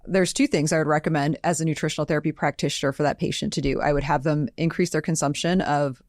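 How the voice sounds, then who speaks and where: A woman's voice: sits low, steady tone, fast talker, a little mellow, no room sound, clean studio sound. An American woman co-hosting a health Q&A podcast, chatty and explanatory in a conversational style. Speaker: podcast co-host and nutritional therapy practitioner.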